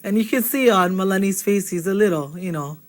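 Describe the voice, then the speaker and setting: A woman runs a relaxed video lesson on words, speaking at an ordinary pitch. Her delivery is chatty and encouraging, and she tags almost every phrase with a quick check-in question. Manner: chatty, checks in with "okay?" constantly. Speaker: Hawaiian language teacher. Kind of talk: casual video language lesson.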